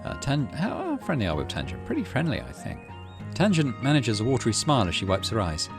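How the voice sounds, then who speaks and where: A man's voice: mid-range voice, wildly swooping pitch, fast talker, music in the background. A man doing let's play commentary, reading story text aloud and muttering through his decisions as he thinks aloud. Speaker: game streamer, let's play host.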